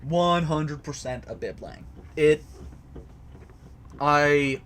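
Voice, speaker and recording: A man's voice: on the high side, drawn-out words, fairly bright tone, a little hiss or hum. A man casually explaining a topic to a live chat from a home basement recording area, hesitant, with many fillers and restarts. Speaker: host answering chat.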